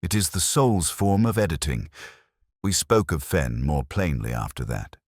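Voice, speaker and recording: A man narrating a detective story in the first person as a world-weary, reflective inspector, in a measured, literary and brooding manner. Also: a bass voice, big pitch swings, dry recording, clean studio sound. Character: world-weary, reflective male police inspector recounting a case. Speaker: audio drama narrator.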